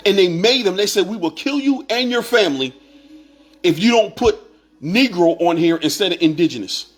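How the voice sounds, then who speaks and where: A man's voice: sits high, lively intonation, moderate pace, faint music behind. Fired-up man preaching to viewers. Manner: preachy, repetitive, rising to shouts. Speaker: online commentator. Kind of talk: impassioned monologue to viewers.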